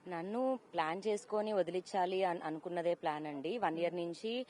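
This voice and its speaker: A woman's voice: lowish voice, brisk, a little mellow, a little hiss or hum. A woman, giving a personal account as an interview guest and mixing in English words. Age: 30s. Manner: code-mixes English words into her talk.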